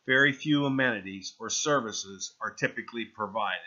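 A man reading a scripted explainer as narrator, in measured, list-like phrases. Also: fairly low pitch, sharp tone, muffled recording. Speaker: narrator of a real estate explainer. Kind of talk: scripted narration read from slides.

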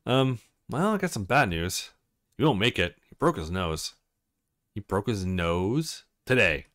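A man plays a young woman who works at a photo studio in a visual novel. She is casual and breezy, with a very changeable pitch. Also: sing-song, measured pace, fairly bright tone. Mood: apologetic.

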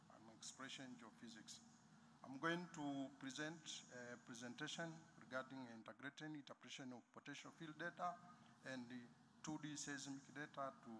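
A man from Tanzania opening a conference presentation at a podium, slightly halting. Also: mid-range voice, background noise. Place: large conference hall. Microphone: podium microphone.

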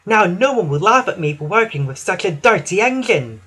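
A man's voice: sits high, very animated, normal speed, sharp tone, breathy edge, pitched above the performer's own voice, exaggerated voice. A man voicing an earnest, proud and fretful female steam engine in a children's storybook. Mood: relieved, dismayed.

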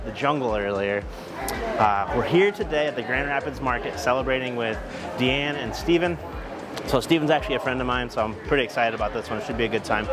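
An informal, upbeat man in his thirties speaks as a wedding DJ and emcee. His voice sits at an average male pitch, with music playing clearly behind him. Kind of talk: casual vlog talk and event announcing. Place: wedding venue and outdoor parking lot.

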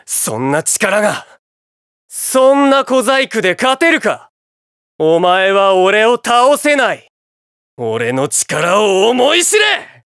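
A man's voice: high-pitched, sharp tone, dry recording, clean studio sound, exaggerated voice. A man playing a menacing, arrogant armored villain in a video game, with a taunting, commanding manner. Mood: angry. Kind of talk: video game battle voice acting.

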